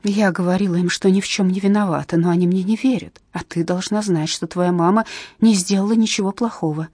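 A woman narrator voicing a distraught imprisoned mother who pleads and flares up indignantly, her voice raised and higher than her own. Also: fairly even intonation, brisk, darkish tone, breathy edge, dry recording, clean studio sound. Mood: desperate. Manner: pleading, shrill outbursts.

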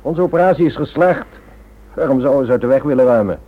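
An actor playing a suave, self-assured and dismissive operative in a Dutch radio drama, with a husky voice. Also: male voice, medium pitch, fast, lightly acted. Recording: thin, phone-like old radio recording.

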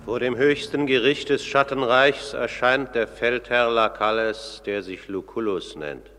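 An actor playing a solemn herald of the underworld court, announcing ceremoniously in a radio drama. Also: male voice, mid-range voice, sharp tone, a little hiss or hum. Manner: formal, ceremonious, measured. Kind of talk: scripted radio drama narration. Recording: long cavernous hall reverb.

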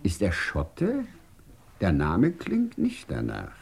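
Courtly whimsical science boss in a radio drama, low gravelly voice, teasing. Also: male voice, huge pitch swings, slow, full character voice. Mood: sly, musing. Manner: whimsical, courteous, elaborately worded, theatrical. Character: urbane, teasing male scientific director of a desert research station. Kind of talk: German radio play acting.

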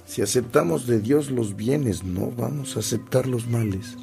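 An actor playing a humble, devout priest in a radio drama, measured and resigned. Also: male voice, mid-range voice, creaky, music in the background. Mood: resigned, serene. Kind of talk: Spanish-language radio drama acting.

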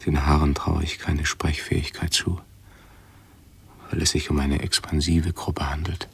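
Radio drama: fussy, anxious hypochondriac man, very breathy and husky, slow, pedantic delivery. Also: a bass voice, level tone, full character voice. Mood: pedantic, brooding. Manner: halting, pedantic, formal phrasing. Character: hypochondriac male patient, fussy, anxious and self-absorbed. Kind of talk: 1970s German radio play acting.

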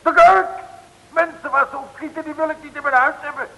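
Indignant innkeeper, vintage radio comedy: piercing, forceful, creaky, stubborn bluster. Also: male voice, very high-pitched, fairly even intonation, brisk, exaggerated voice. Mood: angry. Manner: blustering, scolding. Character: angry, bullying male innkeeper, indignant and stubborn. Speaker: actor. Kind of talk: 1960s radio comedy serial acting. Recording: reverberant old radio recording.

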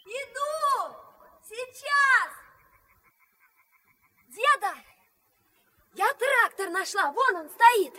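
A woman's voice: very high voice, lively intonation, drawn-out words, pauses often, sharp tone, heavily acted. An actress playing an impulsive, emotional and headstrong young girl, a skipper's granddaughter, in a 1970s Soviet radio drama. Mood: eager. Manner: urgent, emotional questioning.

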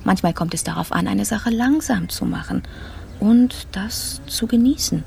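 An actress playing a triumphant, coolly vindictive career woman in a radio drama monologue, measured and savouring each phrase, with a creaky voice. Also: mid-range voice, lively intonation, darkish tone, slight background noise.

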